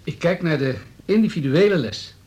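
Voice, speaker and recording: An actor playing a hard-nosed town politician in a radio drama, businesslike, smooth and needling. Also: male voice, a little high, animated, moderate pace, darkish tone, close to an everyday voice. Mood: matter-of-fact. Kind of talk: Dutch radio drama dialogue.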